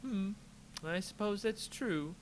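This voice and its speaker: A man's voice: high-pitched, slow pace, slight background noise, voice pushed up. A man voicing a haughty, amused female necromancer in a story reading, languid and theatrical. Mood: haughty amusement.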